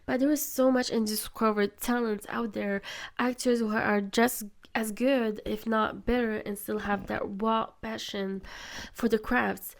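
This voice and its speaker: A woman's voice: mid-range voice, creaky, a little mellow. A young woman who is sick, hosting a solo podcast and giving her opinions in an earnest way. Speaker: podcast host.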